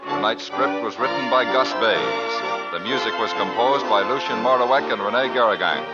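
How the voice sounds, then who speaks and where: An American man reading scripted announcements in a formal, measured 1950s radio announcer style. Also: medium pitch. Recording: muffled old radio recording, loud music.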